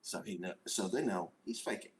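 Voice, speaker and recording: A man playing a tabletop game, casually describing his actions and haltingly repeating words as he goes. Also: deep voice, husky.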